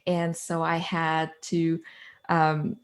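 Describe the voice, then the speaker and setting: French-accented Quebec woman, lowish voice. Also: completely flat delivery, drawn-out words. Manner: earnest, chains thoughts with "so" and "like". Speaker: guest, author and coach. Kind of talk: conversational interview.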